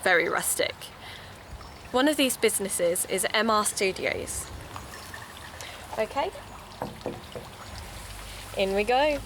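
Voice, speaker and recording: A woman's voice: mid-range voice, animated, slow pace, pauses often, noisy background. A woman playing a keen amateur investigator who hosts a podcast, speaking with a British accent in a conversational, confiding way. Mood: curious. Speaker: podcast host and narrator. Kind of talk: audio drama acted as first-person podcast narration.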